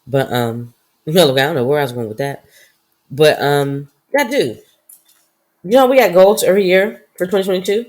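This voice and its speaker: A woman co-hosting a podcast, her voice husky and congested from a stuffy nose, chatting casually with sassy comebacks. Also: deep voice, moderate pace, darkish tone. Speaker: podcast co-host. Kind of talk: casual chat.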